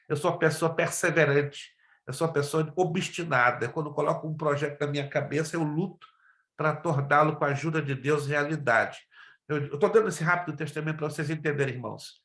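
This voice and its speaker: A Brazilian man, native in Spanish, teaches a class in the manner of a pastor. His voice sits fairly high for a man and carries a roomy echo. He is emphatic, repeating key phrases and pressing listeners with direct questions. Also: fairly bright tone. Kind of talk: preacherly lesson with personal testimony. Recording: roomy, echoing sound.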